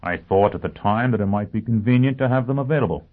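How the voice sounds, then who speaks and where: Man acting a grim, low-voiced repentant criminal. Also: fast, lightly acted. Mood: terse, grim. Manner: grave, intense, bitter. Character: grim, bitter male criminal tormented by conscience. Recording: narrow phone-like sound.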